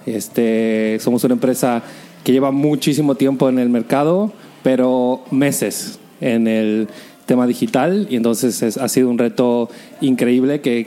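A man's voice: medium pitch, warm tone. A man with a Mexican accent talking casually as an interview guest, often searching for his phrasing. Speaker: podcast guest, digital marketing professional. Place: indoors, in a home.

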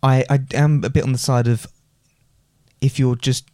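A man's voice: medium pitch, little pitch movement, moderate pace, a little mellow, no echo, studio quality. Young man, earnest podcast co-host. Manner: earnest, explaining his view with restarts. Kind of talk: casual podcast chat.

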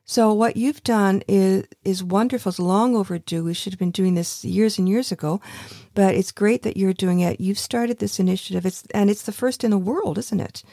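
Older woman, radio host, gravelly voice, mellow tone, affirming interviewer, thoughtful rambling delivery. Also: mid-range voice, normal speed, dry recording, clean studio sound. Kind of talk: conversational interview.

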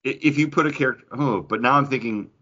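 A man's voice: medium pitch, fast, pushed and bright, lo-fi sound. A man co-hosting a podcast in casual geek-culture chat, rambling and interrupting himself with enthusiasm. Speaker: podcast co-host.

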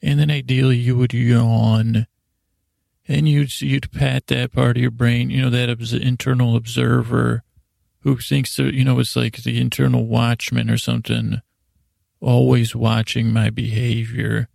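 A man hosting a bedtime-story podcast in a lulling, soothing manner, rambling and hesitant, repeating himself and trailing off in slow meanders. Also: mid-range voice, level tone, darkish tone, no echo, clean studio sound. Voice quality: creaky, gravelly. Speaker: podcast host.

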